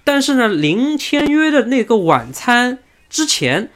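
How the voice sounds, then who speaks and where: A man's voice: very high voice, very animated, measured pace, a bit bright. A man hosting a casual motorsport podcast discussion. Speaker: podcast host.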